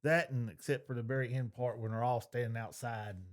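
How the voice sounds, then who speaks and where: A man's voice: medium pitch, steady tone, brisk. Blunt middle-aged man. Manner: blunt, repeats his point. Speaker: podcast co-host. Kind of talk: casual opinionated discussion.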